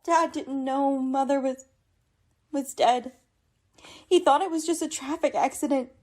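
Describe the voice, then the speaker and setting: A woman's voice: sits high, a little slow. An actress playing a guilt-ridden, anxious young woman in a radio drama, hesitant, earnest and troubled. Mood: remorseful. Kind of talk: old-time radio drama acting.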